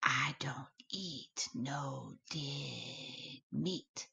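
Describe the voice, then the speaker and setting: A woman's voice: very deep voice, creaky, pushed and bright, pitched below the performer's own voice, heavily acted. A woman voicing a sinister, slimy old swamp snake in a storybook, with a whispery hiss. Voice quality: hissing, whispery. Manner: slow, sinister.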